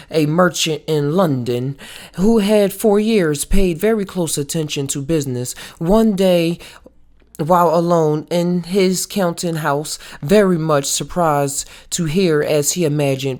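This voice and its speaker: A woman's voice: deep voice, dark tone. A woman reading a novel aloud as a book club read-along, stumbling now and then over the words.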